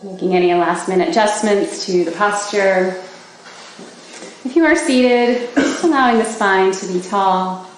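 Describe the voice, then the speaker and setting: A woman leading a guided meditation for a group, giving slow, gentle instructions with long pauses. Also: mid-range voice. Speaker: meditation teacher leading a body scan. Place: large indoor gathering space. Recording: very echoey room with faint background noise.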